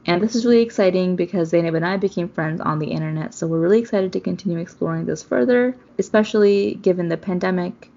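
Gravelly-voiced woman hosting a podcast. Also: lowish voice, quick pace, hardly any pauses, dark tone, dull audio. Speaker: podcast co-host. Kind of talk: scripted episode introduction.

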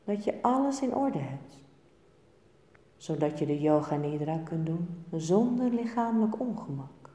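A woman leads a guided meditation in a low, soft-edged, mellow voice. She speaks calmly and very unhurriedly, leaving pauses between short phrases. The sound carries a long, echoing reverb. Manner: calm, soothing, measured with short phrases and pauses. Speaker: yoga nidra meditation teacher. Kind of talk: guided relaxation and meditation instruction. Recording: echoey room with long reverb.

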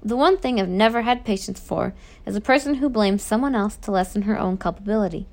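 A woman's voice: medium pitch, brisk, natural voice. Woman acting a stern, furious prison warden. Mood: cold, disappointed. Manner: forceful, accusatory, lecturing. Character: stern, furious middle-aged male prison warden scolding children.

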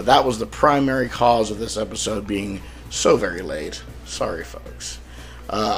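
Rough-voiced, creaky man hosting a podcast. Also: fairly low pitch. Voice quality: slightly rough, throat-clearing. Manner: wry, self-deprecating joking. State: sick, rough throat. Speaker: podcast host and author. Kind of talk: casual solo podcast monologue.

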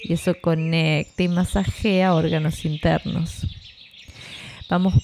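A woman with a Rioplatense Spanish accent guides a relaxation meditation as a yoga instructor, in a calm, unhurried, soothing manner. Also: lowish voice, creaky, a little hiss or hum.

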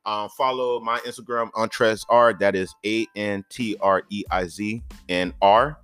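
A man's voice: fairly low pitch, fairly even intonation, a little slow, a bit bright, clear, resonant tone, faint music behind. A man co-hosting a casual podcast, easygoing and rambling with many ums. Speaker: podcast co-host and clothing brand owner.

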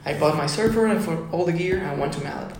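A young man from Ecuador, a musician guest chatting casually on a podcast, excited and saying "dude" often. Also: on the high side, fast, a little hiss or hum. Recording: very echoey room.

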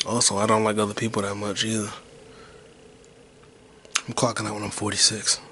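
A man in his twenties talks in a low, monotone murmur, drifting from thought to thought with a detached, low-energy air. His voice sits deep and barely rises or falls, and a faint hiss hangs under the recording. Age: 20s. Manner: low-key, detached, mumbling; whispered rambling. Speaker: podcast host. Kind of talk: rambling solo podcast monologue.

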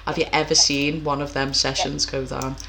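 Girl chatting, blunt and sweary, low voice, a bit of hiss behind. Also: level tone, normal speed, vocal fry. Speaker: interviewer, professional boxer. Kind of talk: casual chat.

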